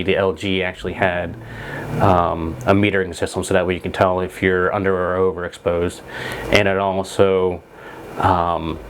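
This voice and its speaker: A man's voice: low-pitched, a little hiss or hum. A man in his forties with a stuffy nose from a cold, casually demonstrating camera gear with lots of fillers and restarts. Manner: rambling, filler-heavy, restarts. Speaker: photography vlogger. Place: home studio room with monitors.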